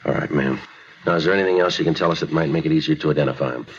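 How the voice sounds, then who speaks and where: An actor playing a terse, deadpan American police detective in a 1950s radio drama, speaking in a clipped, procedural way. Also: male voice, very deep voice, rapid-fire, slight background noise. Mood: businesslike, patient. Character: terse, matter-of-fact male police detective narrating a case. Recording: dull 1950s radio recording.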